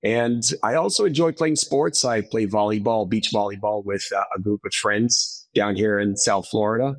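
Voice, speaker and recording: A man's voice: on the low side, normal speed, dry recording. A man from Israel, a dermatologist guest, answering in a hesitant way with many fillers and restarts. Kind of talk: interview answers.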